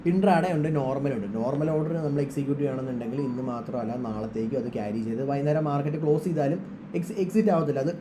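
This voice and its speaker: A man's voice: medium pitch, very fast, background noise. A man giving an informal, instructional screen-share trading tutorial, mixing English terms into his speech. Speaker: trading tutorial presenter, trader.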